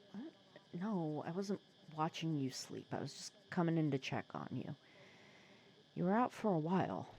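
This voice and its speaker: A supervillain nemesis with a gentle, protective streak, played by a woman for an ASMR roleplay. Her voice sits a little low and is very mellow and relaxed. She speaks softly and conversationally, with wry teasing and small hesitations. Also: slight background noise, heavily acted. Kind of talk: ASMR roleplay audio drama.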